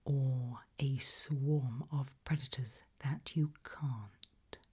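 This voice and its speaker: A woman whispering in a breathy, hushed and deliberate ASMR style, putting questions to the listener. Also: extremely low pitch, fairly even intonation. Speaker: ASMR host.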